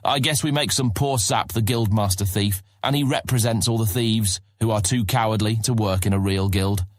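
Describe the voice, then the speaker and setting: A man's voice: lowish voice, moderate pace, no echo, lightly acted. A young street-rat guildmaster, voiced by a man in an audio drama, plain-spoken and stubborn. The recording is dry and close. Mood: unsure. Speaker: voice actor.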